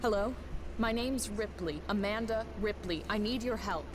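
A woman's voice: mid-range voice, normal speed, lightly acted. An actress playing a determined young woman in a video game, insistent and exasperated as she seeks help. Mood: urgent, insistent. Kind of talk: scripted video game character acting. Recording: distant voice in noisy game ambience.

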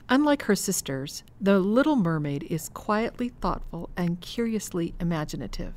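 Lower-pitched woman hosting a podcast. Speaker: podcast host. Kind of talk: podcast hosting, reading listener questions.

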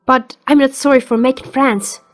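Woman doing serious polite teen girl, high voice, formal, cartoon dub, music behind. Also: fairly even intonation, moderate pace, close to an everyday voice. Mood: apologetic, firm. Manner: formal, sincere. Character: polite, reserved, resolute teenage daughter. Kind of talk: animated comic dub.

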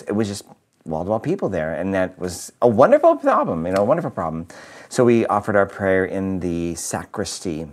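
A priest in his fifties speaks close to his camera in a home living room, his voice sitting a bit low and still slightly nasal from a lingering cold. He talks in a loose, upbeat, conversational way, with fillers and little restarts. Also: darkish tone. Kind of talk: weekly video reflection. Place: living room with a Christmas tree, indoors.

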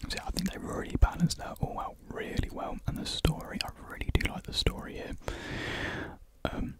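A British man in his twenties whispering a casual ASMR ramble softly, close to a desktop microphone in a small room. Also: fairly quick. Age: 20s. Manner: soft unvoiced whispering. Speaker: ASMR video creator. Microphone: close desktop USB microphone.

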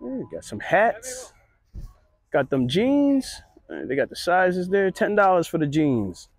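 A middle-aged man gives relaxed, chatty walking commentary at an outdoor flea market, recorded on a handheld phone. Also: sits high, takes it slowly, no room sound. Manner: relaxed, chatty, pointing things out. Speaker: vlogger. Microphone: handheld phone camera.

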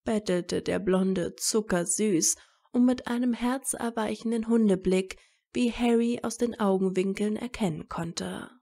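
Woman reading a story out loud, takes it slow, mellow voice. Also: mid-range voice, vocal fry. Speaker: narrator. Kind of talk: scripted story narration.

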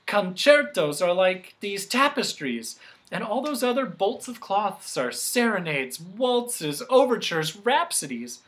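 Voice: medium pitch, sing-song, slow pace, a bit bright, pitched above the performer's own voice. A storyteller voicing a stern, bossy woman who keeps sounds, speaking in a commanding, scolding way. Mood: wistful.

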